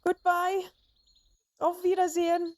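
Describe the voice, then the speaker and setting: A woman playing a lonely, gentle, sincere character in an audio roleplay, speaking hesitantly and earnestly, with wistful fondness. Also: very high voice, monotone, measured pace. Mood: flustered, sad. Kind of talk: dramatic audio roleplay acting.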